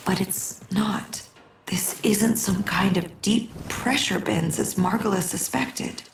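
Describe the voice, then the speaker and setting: Gravelly, solemn mystic woman. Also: medium pitch, slow, roomy sound, soft background music. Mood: ominous. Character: solemn mystic woman guarding a lost child's memory. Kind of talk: dramatic video game acting.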